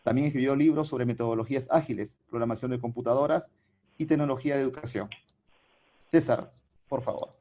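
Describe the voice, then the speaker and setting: A man's voice: mid-range voice, steady tone, a little fast. A man hosting a webinar, reading a formal scripted introduction with occasional stumbles. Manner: formal, read-aloud delivery with occasional stumbles. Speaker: webinar host. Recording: dull lo-fi online call audio.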